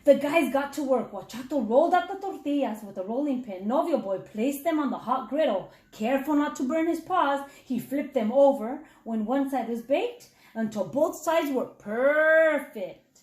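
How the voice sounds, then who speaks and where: A woman with a high voice reads a story aloud for children. She is expressive and talks straight to her young listeners like a teacher. The room gives her voice a clear echo. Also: moderate pace, hardly any pauses. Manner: expressive, addresses children directly. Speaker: reading intervention teacher. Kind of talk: children's read-aloud narration with questions to listeners. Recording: echoey room sound.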